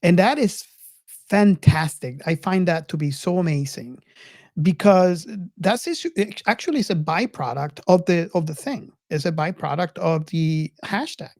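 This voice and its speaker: A woman's voice: on the low side, lively intonation, normal speed, a little mellow, dry recording, clean studio sound. A woman hosting a podcast in a casual, enthusiastic and earnest way, often repeating and restarting her words. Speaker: podcast host.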